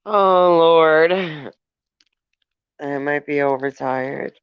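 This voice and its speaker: A woman chats slowly and casually on her live stream, reading out viewer comments and breaking into giggles between remarks. Her voice is smooth and clear, but close and dry with no room sound. The stream audio is slightly dull and lo-fi. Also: deep voice, no room sound. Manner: affectionate toward viewers.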